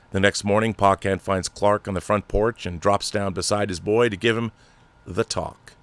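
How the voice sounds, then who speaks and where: A man's voice: on the low side, fairly bright tone, no room sound. A man hosting a film commentary show, talking in an emphatic, conversational way with asides. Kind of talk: casual commentary and reading an article aloud.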